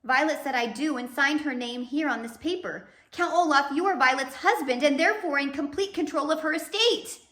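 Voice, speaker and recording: Storybook woman judge voiced by a female reader, distressed, pleading, bright voice, echoey room. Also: high-pitched, fairly quick, few pauses, voice pushed up. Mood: resigned.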